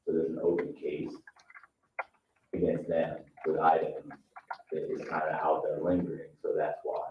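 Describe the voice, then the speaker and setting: Very deep American man in echoing meeting room. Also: monotone, normal speed. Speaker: official advising at a meeting. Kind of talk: informal meeting discussion. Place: indoor town meeting room. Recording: roomy, distant mic, dull, faint hiss.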